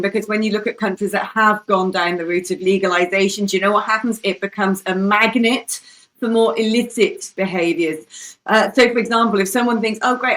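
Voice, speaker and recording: A woman's voice: mid-range voice, a bit bright, poor audio. A woman speaking as a panelist in a political debate, emphatic and opinionated, repeating phrases for force. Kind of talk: impassioned political debate talk.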